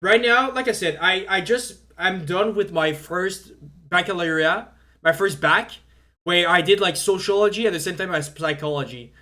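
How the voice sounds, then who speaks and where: High-voiced young man, rambling stream chat. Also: moderate pace, sharp tone. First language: French. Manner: rambling, heavy on fillers and restarts. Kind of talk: casual live-stream chat.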